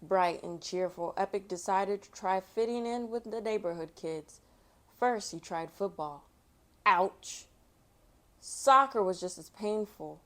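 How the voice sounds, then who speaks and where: A woman hosting a storytime, reading aloud for children in an expressive way and chatting casually between passages. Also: medium pitch, a little slow. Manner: expressive, acts out sound effects and exclamations. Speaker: storytime host reading a picture book.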